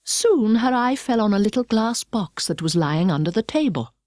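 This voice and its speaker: A middle-aged British woman narrating a children's storybook with precise, expressive delivery. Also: a little high, normal speed, dry recording, studio quality. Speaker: audiobook narrator. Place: dry studio.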